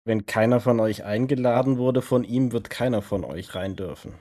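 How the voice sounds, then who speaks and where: A man playing a resolute vampire in a role-play audio drama, speaking in a measured, matter-of-fact way. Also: mid-range voice, warm tone, close to an everyday voice. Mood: firm. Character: Nick, resolute male vampire with a dog.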